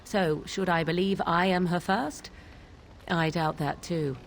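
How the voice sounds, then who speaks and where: An actress playing an aloof, sardonic young witch of the wilds in a fantasy video game, with formal archaic diction and a deliberate, theatrical delivery. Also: on the low side, steady tone, a little hiss or hum. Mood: wry, guarded.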